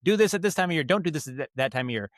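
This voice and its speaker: A man's voice: fairly high pitch, lively intonation, very fast, no room sound, studio quality. A man talking casually as an interview guest, explaining his craft in a thoughtful, rambling way with frequent self-corrections. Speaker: podcast guest, audio storyteller and director.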